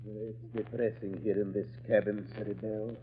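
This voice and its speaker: A man's voice: lowish voice, flat delivery, moderate pace, close to an everyday voice. An actor playing a nervous, trembling criminal henchman in 1940s radio style. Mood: uneasy. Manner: anxious, trembling. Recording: muffled, noisy old radio recording.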